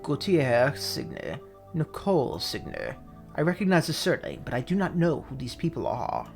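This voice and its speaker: A performer voicing a brooding male falcon lawyer in a game, speaking in formal, measured phrases. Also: mid-range voice, wildly swooping pitch, gravelly, a little mellow, soft background music, heavily acted. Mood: puzzled.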